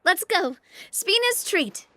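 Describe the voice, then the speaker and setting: An upbeat young woman from an anime game. Her very high voice is bright and animated, exuberant and inviting as she rallies friends. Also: takes it slowly, dry recording, heavily acted. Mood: cheerful.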